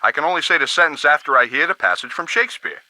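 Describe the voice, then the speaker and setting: Bright folksy chatty radio buddy. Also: male voice, on the high side, lively intonation, quick pace, no echo, low-quality recording. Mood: amiable, puzzled. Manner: folksy, chatty, plain-spoken. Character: folksy, easygoing, chatty male radio operator. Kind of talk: scripted video game dialogue acting.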